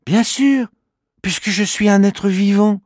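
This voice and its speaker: A man voicing a little green living creature in a children's storybook, talking in a sincere, gently indignant way. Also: high-pitched, measured pace, no echo, clean studio sound, voice pushed up.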